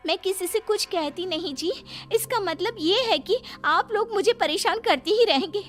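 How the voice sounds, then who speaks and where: Woman voicing a very high-pitched young daughter-in-law. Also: moderate pace. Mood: indignant. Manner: complaining, indignant. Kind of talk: cartoon dubbing. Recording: faint background music.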